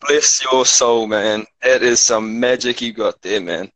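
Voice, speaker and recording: A man's voice: mid-range voice, a little slow, a bit bright, slight background noise, poor audio. A man from South Africa in casual chat, easygoing and slangy, with a South African accent. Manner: laid-back slangy talk, calls everyone bro. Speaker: chat participant. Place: Auckland, New Zealand.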